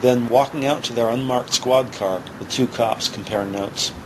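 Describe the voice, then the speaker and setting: A man's voice: medium pitch, moderate pace. Guy narrating a cop audio drama, medium voice, lot of noise behind. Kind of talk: scripted crime drama narration.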